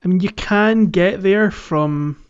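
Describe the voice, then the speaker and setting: A man's voice: high-pitched, takes it slowly, smooth, no echo, dull audio. A man co-hosting a podcast in casual, inquisitive, informal conversation. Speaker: podcast co-host. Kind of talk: casual chat, game playalong podcast.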